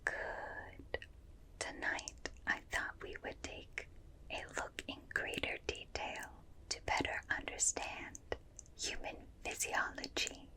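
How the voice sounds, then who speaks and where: A woman voicing a gentle, curious alien examiner in a breathy whisper, slow and soothing, in an ASMR roleplay. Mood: gentle, reassuring. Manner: slow, soothing, softly clinical, repeats phrases. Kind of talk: ASMR roleplay, personal attention.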